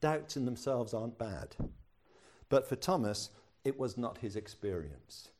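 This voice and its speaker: A man's voice: mid-range voice, animated, unhurried, darkish tone. An older man, a pastor preaching a sermon in a chatty storytelling way with self-deprecating humor.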